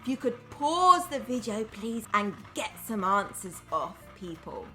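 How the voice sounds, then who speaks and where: A woman primary school teacher reading a story aloud to young children in an enthusiastic, expressive way, chatting to them between lines. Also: medium pitch, a little slow, soft background music. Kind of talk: children's storytime read-aloud with asides to the audience.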